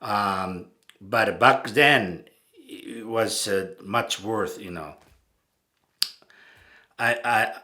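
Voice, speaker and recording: A man from Japan speaks English with a strong Japanese accent, taking his time over every phrase. His voice is bright and forward, and his talk is halting, with frequent fillers and restarts. He sounds like a casual host recalling past events. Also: lowish voice. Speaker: channel host. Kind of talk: casual spoken recollection.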